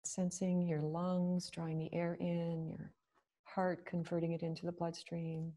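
A woman leading a guided meditation, speaking slowly and gently with long pauses. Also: fairly low pitch, monotone.

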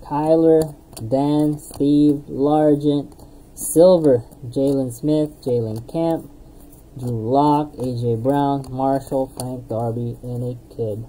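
A woman's voice: a bass voice, steady tone, slow, mellow, rough recording. A woman hosting a live card break, calling out player names as she opens packs, laughing at herself and losing her voice. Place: indoor streaming desk with card boxes.

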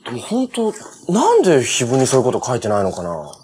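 A man's voice: medium pitch, wildly swooping pitch, fast talker, a little mellow, a little hiss or hum. An actor playing a cheerful, earnest young male superhero in a live-action TV drama, with a casual, friendly way of talking. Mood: thoughtful, casual. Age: young adult.

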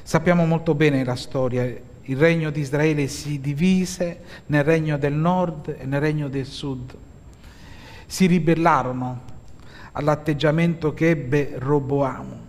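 A middle-aged pastor from Italy preaches from the pulpit of a church hall in a slow, deliberate way, pausing often and filling gaps with soft hesitations. His voice sits fairly high for a man, and his words ring with a long reverb through the hall. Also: steady tone. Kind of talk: sermon.

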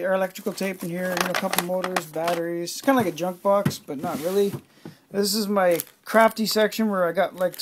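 A man's voice: sits high, slight background noise. A man in his forties giving a rambling, offhand tour of his cluttered home workshop, with lots of fillers and the odd swear word, filmed on a handheld phone. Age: 40s. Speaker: hobbyist vlogger. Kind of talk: casual walkthrough of his workshop. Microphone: handheld phone camera.

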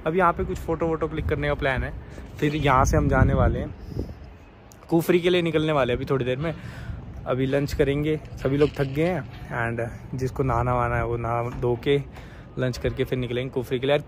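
A man's voice: mid-range voice, brisk. Young man vlogging on a noisy phone. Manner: chatty, excited, mixes in English words. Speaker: travel vlogger. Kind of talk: casual travel vlog to camera. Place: outdoors on a hillside and in a small hotel room. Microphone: phone held at arm's length.

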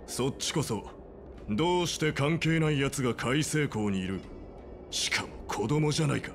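A man's voice: medium pitch, normal speed, faint music behind, natural voice. A man voicing a hostile, callous villain's henchman in a Japanese video game. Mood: suspicious, hostile.

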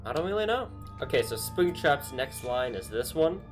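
A man's voice: medium pitch, very animated, moderate pace, soft background music. A young man hosting a casual video, talking through a game theory with lots of ums and restarts, in a small bedroom. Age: young adult. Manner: rambling, many ums and restarts. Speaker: YouTube host.

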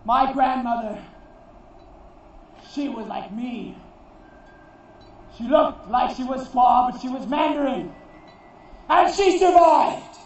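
A man performing a theatrical monologue as a proud, defiant warrior, solemn and declamatory, in deliberate phrases. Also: very high-pitched, creaky, a little hiss or hum, heavily acted. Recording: distant, very echoey, muffled recording.

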